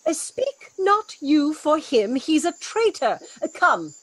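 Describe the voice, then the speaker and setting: A woman playing a stern, commanding sorceress mother in a Shakespearean audio drama, theatrical and sharp in rebuke. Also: sits high, very animated, takes it slowly, no echo, heavily acted. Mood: stern, scolding.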